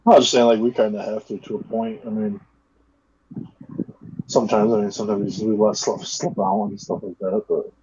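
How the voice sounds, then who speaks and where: A man in his thirties with a low, mellow voice, talking in a laid-back, hesitant way full of fillers. He sits in a small room on a video call. The sound is dull and lo-fi, with a faint hiss behind it. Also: little pitch movement, moderate pace, slightly rough. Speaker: co-host. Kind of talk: casual chat.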